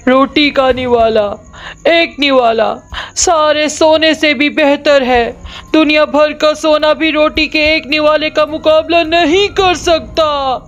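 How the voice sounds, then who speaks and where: A woman's voice: high voice, fairly even intonation, a bit bright, pitched above the performer's own voice. A woman voicing a grieving, doting king in a cartoon story, pleading and wailing. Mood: desperate. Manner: wailing, pleading, drawn-out delivery. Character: grieving remorseful king, doting father of a princess. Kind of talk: Urdu cartoon storybook dialogue. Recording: dull lo-fi audio with music behind.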